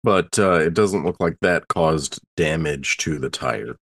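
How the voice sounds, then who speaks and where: A man's voice: extremely low pitch, unhurried, fairly bright tone, dry recording, studio quality. A man chatting casually as a player in a tabletop roleplaying game.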